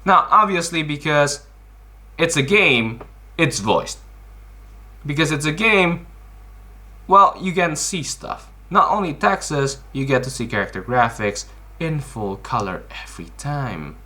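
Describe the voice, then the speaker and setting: A man's voice: on the high side, expressive, measured pace, bright, forceful tone. A man giving casual explanatory commentary as a video host, in a blunt, informal, emphatic way, often asking questions and answering them himself.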